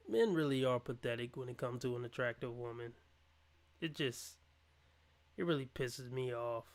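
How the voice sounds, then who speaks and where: A man reacting on a livestream to a dating show, mocking and scornful as he rants over the clip. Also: fairly high pitch. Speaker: livestream commentator. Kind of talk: reaction commentary.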